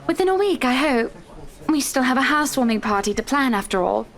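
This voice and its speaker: A woman's voice: high voice, lively intonation, a little fast. A woman voicing a gracious but demanding wealthy wife, effusive and genteel, in a visual novel game. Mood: genteel, insistent. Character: gracious, demanding wealthy married female client.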